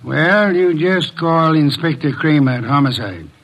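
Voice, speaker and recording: A man playing a pompous, fussy, indolent master detective in an old radio drama, speaking in formal, deliberate phrases. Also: on the high side, animated, fairly bright tone, muffled recording, exaggerated voice. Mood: calm, deliberate.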